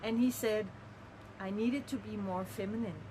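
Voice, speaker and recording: A woman's voice: mid-range voice, fairly even intonation, normal speed, background noise. A middle-aged woman from Denmark, speaking in a candid, earnest and reflective personal monologue. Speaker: vlogger.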